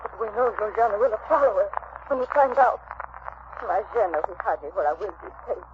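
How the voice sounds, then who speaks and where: An actress playing a passionate, melodramatic Italian-American wife with an Italian accent and broken English, in old-time radio drama style. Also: female voice, medium pitch, animated, fast talker, natural voice. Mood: bitter, fearful. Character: passionate Italian-American wife, fearful then wildly joyful. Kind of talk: 1940s radio acting. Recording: scratchy, muffled old radio recording.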